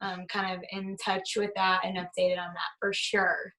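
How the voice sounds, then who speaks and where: A young woman, a band member, chatting casually in an interview, bubbly and quick to laugh and agree. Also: medium pitch, little pitch movement, pushed and bright, recorded from a distance, rough recording.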